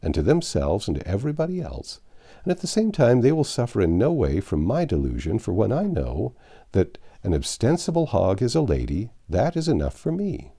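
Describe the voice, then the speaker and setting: A man's voice: lowish voice, wildly swooping pitch, moderate pace, warm tone, slightly rough, full character voice. A man reading a storybook part as a confident, wry American man who explains things with assurance. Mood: patiently explaining.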